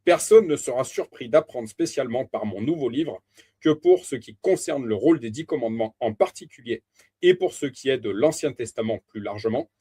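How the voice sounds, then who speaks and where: A man reading a Bible teaching aloud as a preacher, in a measured, deliberate way with emphatic stresses. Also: medium pitch, dark tone, breathy edge.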